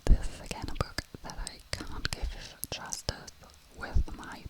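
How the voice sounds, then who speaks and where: Casting: ASMR book reviewer, soft breathy whisper throughout, unhurried and hesitant, feeling for words. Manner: halting, searching for words in a non-native language.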